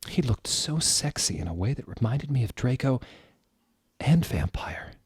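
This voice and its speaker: A man's voice: mid-range voice, sing-song, moderate pace, breathy edge, no room sound, studio quality. A man giving a dramatic, comedic reading of fan fiction as its narrator. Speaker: reader.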